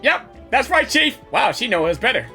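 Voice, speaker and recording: A woman's voice: on the high side, very animated, normal speed. A woman doing casual, informal reaction commentary as a gaming YouTuber, talking back to the game. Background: game music.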